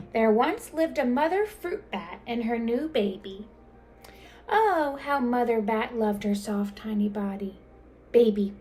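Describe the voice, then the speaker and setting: A woman reading a children's storybook aloud in an expressive, dramatic way, drawing out words. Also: on the high side. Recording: clear background noise.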